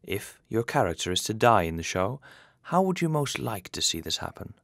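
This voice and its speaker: A man's voice: fairly low pitch, sing-song, moderate pace, gravelly, fairly bright tone, no room sound, clean studio sound. A man hosting an interview, reading out scripted questions. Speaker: interviewer and host.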